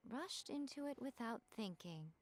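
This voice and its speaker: An actress playing a sly, haughty shrine priestess in a video game, with an arch, drawling, teasing manner. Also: female voice, fairly high pitch, animated, a little slow, slight background noise. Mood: wry, teasing. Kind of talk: video game character dialogue. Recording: faint music behind the voice.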